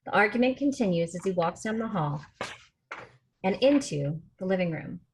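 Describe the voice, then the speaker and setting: A woman's voice: medium pitch, expressive, moderate pace, slight background noise, rough recording. A woman reading stage directions as the narrator at a film script table read. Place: remote video call rehearsal.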